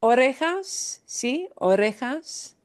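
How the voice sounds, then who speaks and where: A woman's voice: high-pitched, very animated, slow pace. A woman teaching a language class, patiently explaining and repeating words. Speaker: Spanish teacher.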